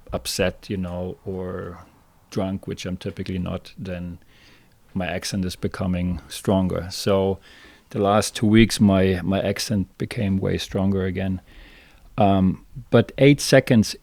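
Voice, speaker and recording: Man, German Bavarian accent, flat delivery, halting with fillers, dry close recording. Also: deep voice, dry recording. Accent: German, from southern Bavaria. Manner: halting, many fillers and restarts. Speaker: guest, fishing guide. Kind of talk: casual interview storytelling.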